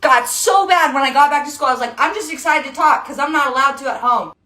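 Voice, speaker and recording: A woman's voice: high-pitched, quick pace, bright, forceful tone. A woman casually telling a personal story for a social video.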